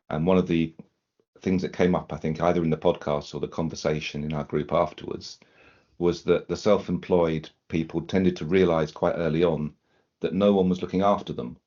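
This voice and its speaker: A man with an extremely deep voice co-hosts a podcast and asks thoughtful questions. He tends to repeat the start of a sentence, and the sound is slightly dull and lo-fi. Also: darkish tone. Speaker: podcast co-host. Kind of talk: casual podcast chat.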